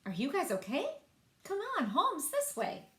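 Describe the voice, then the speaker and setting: Woman as an upbeat storybook pinecone, helpful, very high and swooping, roomy echo. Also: huge pitch swings, a little slow, fairly bright tone, full character voice. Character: friendly, helpful little pinecone, upbeat rescuer.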